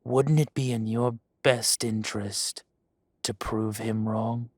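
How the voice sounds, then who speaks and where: A menacing dragon gang boss, voiced by a man for an audio roleplay. He talks softly and condescendingly at a very slow, deliberate pace, sometimes dropping to a whisper. The mid-pitched voice is close-miked and completely dry. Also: fairly even intonation, dry recording. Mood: silky menace. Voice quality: soft-spoken, silky and condescending, drops to whispers. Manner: slow, deliberate threats with long pauses.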